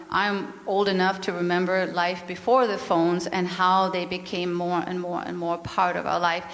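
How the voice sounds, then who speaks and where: A calm, earnest middle-aged woman with a Danish accent, whose voice sits a little low. She gives a reflective spiritual talk, now and then repeating a word as she searches for the next one. The sound carries a long reverb from the meeting hall. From Denmark. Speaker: yoga and mindfulness teacher.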